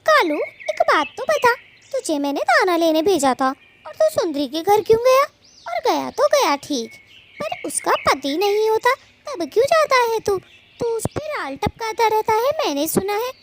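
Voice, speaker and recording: A woman's voice: very high-pitched, sing-song, unhurried, background noise, pitched above the performer's own voice, exaggerated voice. A woman voicing a bossy, gossiping female cartoon bird with a scolding, dramatic, chatty delivery. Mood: threatening. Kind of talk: children's moral-story cartoon dubbing.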